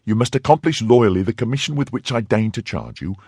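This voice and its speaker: Guy putting on a fiery young wife, husky but pitched way up, swooping all over, dry close sound. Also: animated, fairly quick, dark tone, no echo. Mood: commanding. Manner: scornful, pleading and scolding by turns. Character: young woman, indignant, fiercely loyal wife.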